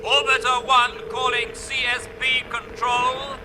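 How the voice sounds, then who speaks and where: A man playing a steady, focused spaceship captain making clipped radio reports in a 1950s radio drama. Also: slow pace. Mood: insistent. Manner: clipped, procedural. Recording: noisy old radio drama, vehicle rumble.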